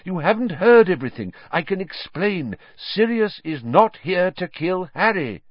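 A man's voice: medium pitch, animated, a little slow, dry recording, highs cut off. A man voicing a mild, earnest male wizard teacher in an audiobook, pleading and reasoning with urgency. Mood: urgent pleading.

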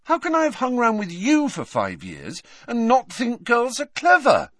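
Man playing an exasperated, animated teenage boy. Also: medium pitch, measured pace, breathy edge, no room sound, studio quality, pitched above the performer's own voice, full character voice. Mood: defensive. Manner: irritable, protesting.

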